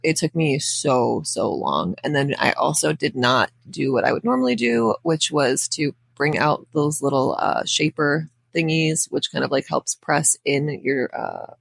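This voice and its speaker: A woman hosts a casual how-to voice-over in a crackly, under-the-weather voice. Her pitch moves in a lively way as she rambles informally through asides. The recording is close and dry. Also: unhurried, few pauses, dry recording. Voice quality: crackly, raspy. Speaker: nail art tutorial host.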